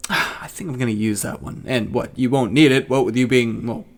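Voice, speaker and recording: An actor playing a sadistic, gleeful financier in an audio drama, talking in a theatrical, gloating way. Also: male voice, mid-range voice, moderate pace, close to an everyday voice. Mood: amused, smug. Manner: theatrical, gloating, often breaking into giggles and cackles. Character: gleeful sadistic male financier who tortures as a hobby.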